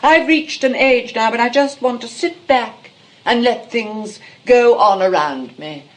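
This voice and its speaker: A woman's voice: on the high side, measured pace, close to an everyday voice. A woman playing a vain, middle-aged English retired actress in an affected, coquettish stage comedy manner. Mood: wistful, self-dramatising. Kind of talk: stage comedy acting, 1920s drawing-room manner.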